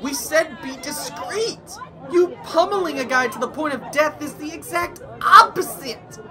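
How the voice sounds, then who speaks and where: A young man playing a serious, sarcastic, exasperated human team member in an animated fan audio drama. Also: very high voice, measured pace, a crowd in the background. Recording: music behind the voice.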